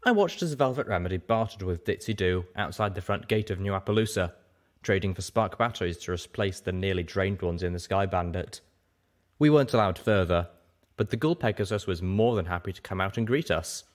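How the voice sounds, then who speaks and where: Guy reading an audiobook story, lowish voice, really animated pitch. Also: fairly quick. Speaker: audiobook narrator. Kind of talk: scripted dramatic story narration.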